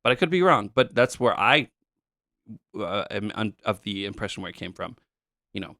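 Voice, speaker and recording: A man co-hosting a podcast, casually walking through retro game levels with a relaxed, explanatory manner and plenty of fillers. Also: lowish voice, moderate pace, no room sound, clean studio sound. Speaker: podcast co-host. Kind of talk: casual chat about retro games.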